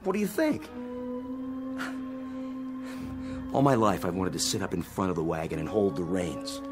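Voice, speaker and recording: Music underneath, swaggering American astronaut, gloating, excitable, god complex, swinging pitch, radio drama. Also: male voice, fast. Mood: grandiose, defiant. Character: cocky, power-hungry astronaut technician with a god complex. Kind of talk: dramatic radio play acting.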